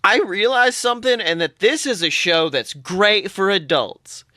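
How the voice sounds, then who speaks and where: A young adult podcast co-host chatting casually about fandom in an animated, exaggerated and joking way. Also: medium pitch, a little slow, no room sound, clean studio sound. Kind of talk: casual fan podcast chat.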